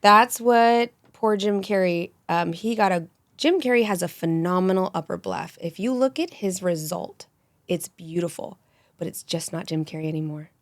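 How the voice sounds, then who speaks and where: A woman in her forties, a med spa injector, telling stories animatedly and quickly in a casual podcast chat. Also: fairly low pitch, dry recording. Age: 40s. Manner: animated, rapid storytelling.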